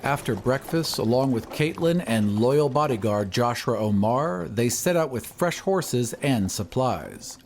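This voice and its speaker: A man's voice: mid-range voice, animated, a little slow, few pauses, a little mellow, a little hiss or hum. A man narrating a fantasy recap in a scripted, dramatic storytelling style.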